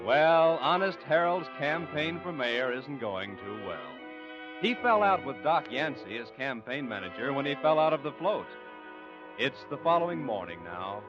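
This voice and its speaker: Vintage radio announcer, male, smooth promo read, lively pitch, music behind. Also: moderate pace. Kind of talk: scripted announcing. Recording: muffled 1950 broadcast with music.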